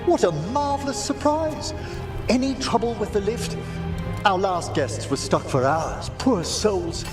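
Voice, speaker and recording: An actor playing a genteel older necromancer professor in a video game, effusive, refined and polite. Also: male voice, high-pitched, lively intonation, unhurried. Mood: delighted. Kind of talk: video game cutscene acting. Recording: long reverb, loud music behind.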